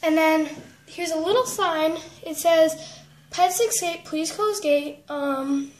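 A woman giving a casual show-and-tell narration in a halting way, often trailing off and correcting herself. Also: very high voice, rough recording. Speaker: vlogger giving a tour of a toy horse barn. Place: indoors at home, room with wooden floor. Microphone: handheld camera, speaker behind it.

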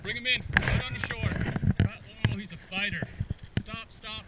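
A man's voice: high-pitched, fairly even intonation, moderate pace. A middle-aged man chatting casually on an outdoor fishing vlog, encouraging in short, repeated phrases. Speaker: father coaching his son while fishing. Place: outdoors on a reservoir bank. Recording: outdoors, distant mic, loud background noise.

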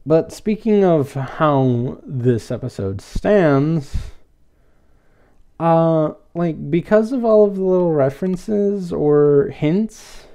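A man's voice: fairly high pitch, expressive, slow pace, dark tone. A man hosting a podcast, casually reviewing an episode in a rambling, hesitant but enthusiastic way. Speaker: podcast host.

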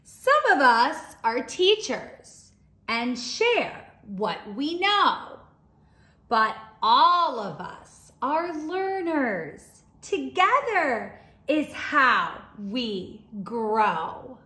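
A woman teaching young children, reading a storybook aloud in an expressive, deliberate way. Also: high-pitched. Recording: roomy, echoing indoor sound.

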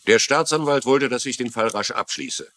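An actor playing an older retired police lieutenant in a German-dubbed TV crime drama, confiding and wry, with a troubled conscience. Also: male voice, medium pitch, moderate pace, sharp tone. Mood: uneasy, confiding.

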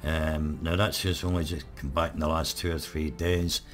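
Hoarse deep-voiced gamer. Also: male voice, moderate pace, soft background music. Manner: relaxed, rambling, many ums. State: hoarse, croaky. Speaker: gaming channel host. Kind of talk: live let's play commentary.

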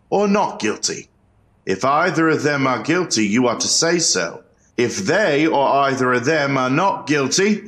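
Man playing a slow, solemn colonial court clerk. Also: fairly high pitch, fairly bright tone. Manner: ceremonious, measured, declamatory. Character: solemn, formal colonial Boston court clerk reading the charge. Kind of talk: historical courtroom reenactment, formal proclamation.

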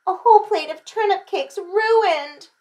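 A woman's voice: very high-pitched, darkish tone, voice pushed up. A woman voicing an upset small child in a storybook, whining and drawing out the words. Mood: upset wailing. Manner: whining, drawn-out.

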